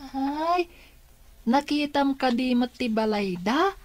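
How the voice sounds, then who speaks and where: Curious, slow-talking Ilocano child. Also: female voice, high-pitched, animated. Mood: wondering. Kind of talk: Ilocano radio comedy-drama acting.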